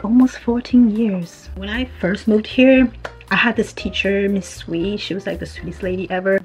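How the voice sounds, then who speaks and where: A woman in her twenties from China, a native Chinese speaker, talking casually and confidingly as a vlogger, often saying "like" and "you know". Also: medium pitch. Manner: informal, frequent "like" and "you know". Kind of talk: casual chatty video diary, confiding. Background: music.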